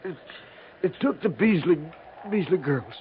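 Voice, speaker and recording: An actor playing a gravely wounded wagon train survivor in a 1950s radio western, weak and dazed, speaking in broken, halting phrases. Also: male voice, high-pitched, big pitch swings. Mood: dazed, dying. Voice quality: weak, faltering voice. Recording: tinny, noisy 1950s radio transcription.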